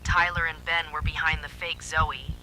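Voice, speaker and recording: A man narrates a horror story in the first person with dramatic suspense. His voice sits a little high and is very bright and sharp. A faint hum of noise runs underneath. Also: flat delivery, moderate pace, rough recording.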